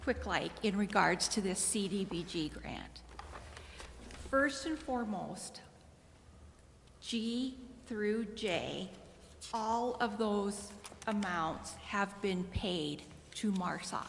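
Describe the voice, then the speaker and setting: A woman on city staff explaining matters to a council in deliberate, halting phrases. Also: medium pitch, animated, creaky. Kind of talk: explanatory report to a public meeting. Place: large indoor council chamber. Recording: reverberant hall with faint noise.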